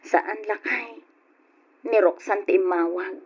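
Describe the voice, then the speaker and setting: An actress with an Ilocano accent playing an emotional, hesitant, pleading wife in an old radio drama, with a husky, expressive voice. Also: female voice, medium pitch, takes it slowly. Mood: hesitant, uneasy. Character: Irene, emotional wife and daughter, pleading, torn over money. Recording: dull, lo-fi old radio recording.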